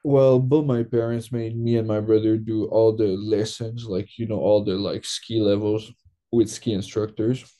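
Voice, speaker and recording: A man's voice: fairly low pitch, fairly even intonation, unhurried, dark tone. A young man from Quebec, a guest in a casual interview, talking loosely with lots of fillers. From Quebec, Canada. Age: young adult.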